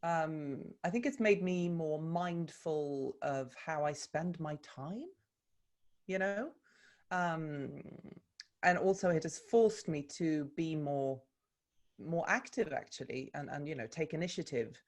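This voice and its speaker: A woman's voice: sits low, takes it slowly, darkish tone. A woman from Sweden, a native Swedish speaker, talks in English as a guest in a video call interview. She speaks thoughtfully, with many ums and restarts. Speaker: actor and voice coach, interview guest. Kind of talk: relaxed conversational interview.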